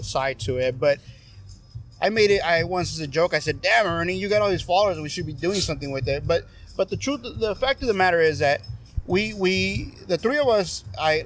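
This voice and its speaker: A man's voice: on the high side, a little hiss or hum. A middle-aged veteran man in casual podcast talk on a patio, joking and teasing his friends. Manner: teasing, joking, laughs often. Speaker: guest, veteran advocate. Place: covered patio with string lights at night.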